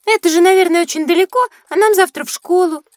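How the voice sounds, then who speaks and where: A woman's voice: extremely high pitch, fast, no echo, clean studio sound. A woman playing a sensible, teasing and curious young schoolgirl in an educational audio play. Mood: wondering. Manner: childlike, pert, inquisitive. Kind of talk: scripted educational audio drama.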